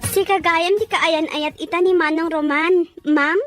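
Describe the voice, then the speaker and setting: A woman's voice: very high-pitched, steady tone, measured pace, a bit bright, no echo, soft background music. A woman playing a chatty, inquisitive, friendly younger sister in an audio drama. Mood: curious, welcoming. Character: chatty, curious younger sister welcoming her brother's sweetheart. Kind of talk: Ilocano audio drama acting.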